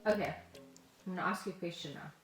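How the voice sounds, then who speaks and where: A woman's voice: fairly low pitch, fast, faint music behind. Woman in an echoey room. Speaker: podcast co-host. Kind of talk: casual conversational chat. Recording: echoey room, faint noise.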